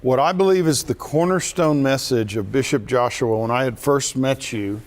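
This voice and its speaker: American guy hosting a faith show, middle-aged, eager, average pitch. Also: moderate pace. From United States. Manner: enthusiastic, warm-hearted presenter. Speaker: broadcast host.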